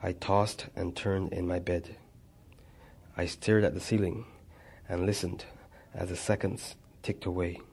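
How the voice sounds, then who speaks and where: A man reads a personal memoir aloud in a measured way. His voice sits low and stays flat in tone, and he stumbles and restarts now and then. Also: breathy edge. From Barbados. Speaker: narrator.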